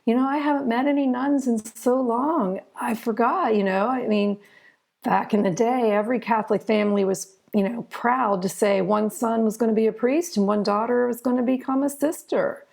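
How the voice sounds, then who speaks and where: A woman's voice: fairly high pitch, fairly even intonation, normal speed, creaky, a little mellow. A middle-aged American woman speaking conversationally as an interview guest, explaining things through chatty anecdotes. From United States. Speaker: interview guest, children's author.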